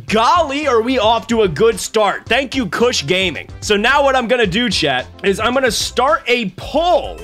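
A man's voice: high voice, a bit bright. A man in his twenties hosting a casual reaction stream, joking and laughing often, on a close desk mic at home. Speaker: streamer host. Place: home streaming room, indoors. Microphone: desk boom mic close up.

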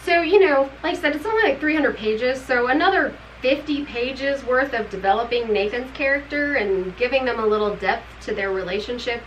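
A woman's voice: fairly high pitch, fairly quick, few pauses, a little hiss or hum, low-quality recording. A middle-aged woman chats loosely and at length about a novel, circling back on her thoughts and laughing along the way. She sits in a room at home, speaking naturally to her co-host on camera. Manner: chatty, rambling, laughs often. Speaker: book club co-host. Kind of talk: casual book review chat.

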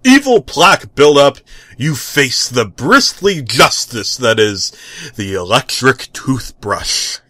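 A man's voice: medium pitch, expressive, takes it slowly, bright, forceful tone, dry recording, voice pushed up, exaggerated voice. A man voicing an enthusiastic, dim-witted superhero who makes grandiose proclamations in a comic story reading. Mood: righteous, bombastic.

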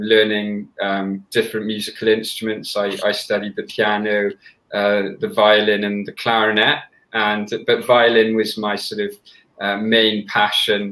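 A young man from Wales with a Welsh accent, a conductor talking softly over a video call, with many fillers and restarts. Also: on the low side, reverberant, low-quality recording. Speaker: orchestra conductor, guest. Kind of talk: casual interview chat. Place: small room at home. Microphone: headset on a video call.

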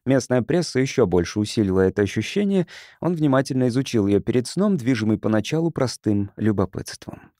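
A man narrates science fiction as an audiobook. His mid-range voice moves through lively, varied intonation, and the sound is dry, with hardly any room to it. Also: medium pitch, brisk, dry recording.

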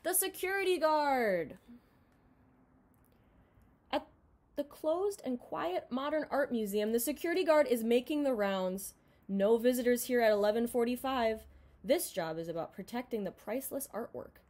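Young woman, fairly high voice, very lively intonation, expressive children's book read-aloud, home recording. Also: moderate pace. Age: young adult. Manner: expressive, dramatized reading. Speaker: storybook reader. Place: indoor room at home.

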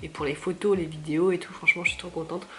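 A young woman vlogging from her living room, hoarse from a cold, chatty and self-mocking with lots of fillers. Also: lowish voice, fairly even intonation, fast talker, a little hiss or hum. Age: young adult. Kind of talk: casual vlog chat to camera.

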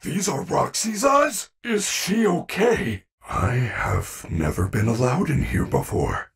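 A man voicing a gentle, earnest animatronic bear in a video game, speaking formally and carefully with few contractions. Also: mid-range voice, big pitch swings, slow pace, gravelly, slightly rough, distant mic, heavily acted. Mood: anxious wonder.

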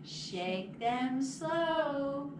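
A woman's voice: sits high, expressive, drawn-out words. A woman presenting storytime, speaking expressively to young children as she leads rhymes and introduces books. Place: small children's classroom indoors. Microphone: distant camera microphone. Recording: echoey, noisy room, far from mic.

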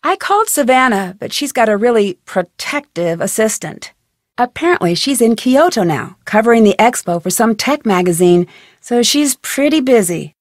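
A woman's voice: a little high, big pitch swings, normal speed, dry recording, studio quality. A woman voicing a curious, polite young amateur detective in a video game, with a friendly, inquisitive delivery. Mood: intrigued. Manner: inquisitive, friendly, clearly articulated questioning. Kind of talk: video game dialogue, single scripted lines.

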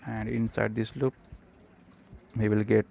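A man presenting a step-by-step programming tutorial, speaking haltingly in short fragments. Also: fairly low pitch, monotone, vocal fry, warm tone. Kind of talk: step-by-step screencast tutorial narration.

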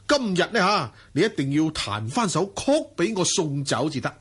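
A man with a Hong Kong Cantonese accent playing a smooth, lecherous, wealthy merchant in a radio drama, coaxing and flirting. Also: a little high, big pitch swings, normal speed, a bit bright, heavily acted. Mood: flirtatious coaxing.

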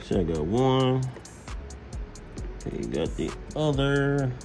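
A man's voice: medium pitch, sing-song, takes it slowly, creaky. An American man giving a casual hands-on how-to, folksy and thinking aloud as he works. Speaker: host, car mechanic. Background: music.

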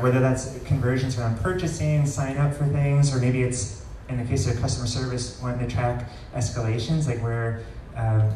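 Dark-voiced man presenting in a roomy space. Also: mid-range voice. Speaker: presenter demonstrating software. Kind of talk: live product demo talk. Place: large wood-ceilinged meetup room, indoors. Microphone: far camera recording the room. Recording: roomy, distant pickup with faint noise.

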